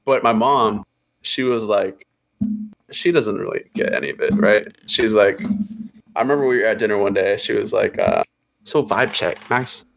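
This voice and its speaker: A man's voice: on the low side, animated, normal speed, creaky. Laid-back slangy man in his twenties. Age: 20s. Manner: laid-back slangy banter, says bro a lot. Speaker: podcast guest. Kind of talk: casual chat.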